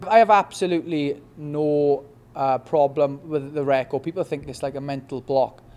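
A young man with a Welsh accent in a post-fight interview, talking quickly with many restarts and "you know what I mean". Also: fairly high pitch, warm tone. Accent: Welsh, Swansea. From Wales. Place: press backdrop indoors. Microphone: reporters' handheld mics in front.

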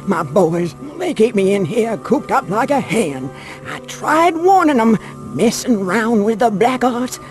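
A high-voiced old Southern backwoods granny, pleading and folksy, swinging wildly in pitch. She is a wheedling, sinister captive in a horror video game, with music faintly underneath. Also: sing-song, measured pace. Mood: pleading, bitter. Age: older.

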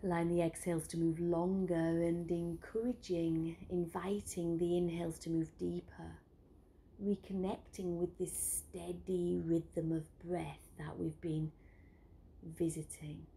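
A woman leading a guided breathing meditation, giving slow, soothing instructions that often drop to a whisper. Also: lowish voice, level tone, vocal fry, warm tone, slight background noise.

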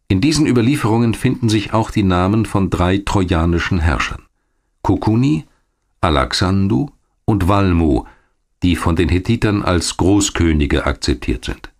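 A man reading scripted documentary narration in a measured, clearly articulated storytelling manner. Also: deep voice. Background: music behind the voice.

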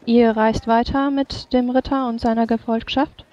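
A woman's voice: a little high, little pitch movement, moderate pace, a little mellow, dull audio. A woman in a tabletop roleplaying game, speaking in character with polite, formal, official-sounding questions.

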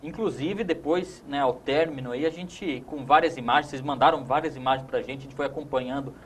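A man's voice: medium pitch, fairly quick, a little hiss or hum. A man in his thirties with a Brazilian accent, hosting a conversational sports talk show in a studio. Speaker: host.

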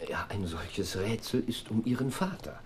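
Theatrical, restless genius detective. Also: male voice, fairly low pitch, lively intonation, moderate pace, slight background noise. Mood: brisk, precise. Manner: theatrical, precise, self-assured. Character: sharp, brilliant, restless male detective. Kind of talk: German radio play acting.

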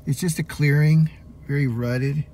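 A man's voice: fairly high pitch, steady tone, measured pace, warm tone. A man giving casual running commentary as a camping vlogger, thinking aloud in short phrases. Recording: noisy, car and vehicle sounds.

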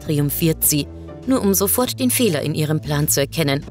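Female story reader, low register, scripted first-person narration, clear music bed underneath. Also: animated, normal speed, a little mellow. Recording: music behind the voice.

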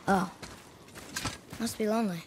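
A man's voice: sits high, fairly even intonation, unhurried. A young male actor playing a curious, earnest boy adventurer in a video game. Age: child. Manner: inquisitive, earnest. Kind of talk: video game cutscene dialogue. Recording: clear background noise from game ambience.

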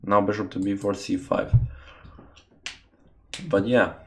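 A young man with a very low, deep voice, streaming chess from a small room. He thinks aloud about his moves and chats with his viewers between them. He is recorded through a desk webcam mic. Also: level tone, slow pace. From Croatia. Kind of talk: live game commentary with chat.

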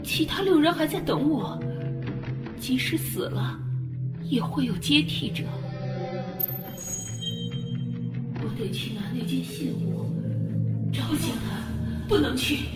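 A woman's voice: sits high, lively intonation, unhurried, pauses often. An actress playing a frightened young woman who tells of ghostly horrors in a Chinese audio drama. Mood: distraught, fearful. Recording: music under the voice. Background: dramatic music.

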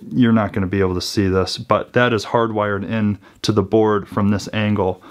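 A man in his thirties with a fairly low voice talks through gear in a casual, conversational way. He sounds like an informal online reviewer, addressing the viewer directly and checking in as he goes. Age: 30s. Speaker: host of a preparedness gear channel. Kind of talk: casual product review to camera. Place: small indoor room with built-in shelves.

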